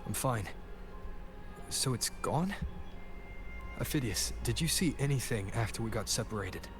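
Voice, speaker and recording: A man playing a steadfast young wandering hero in a video game, speaking calmly and deliberately. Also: mid-range voice, natural voice. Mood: puzzled, steady. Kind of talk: scripted video game voice acting. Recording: game music behind the voice.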